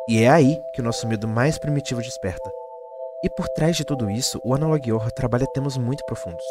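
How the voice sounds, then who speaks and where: A man with a Brazilian accent delivering scripted explainer narration in the manner of a video essay. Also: mid-range voice. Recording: music bed under the voice. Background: background music.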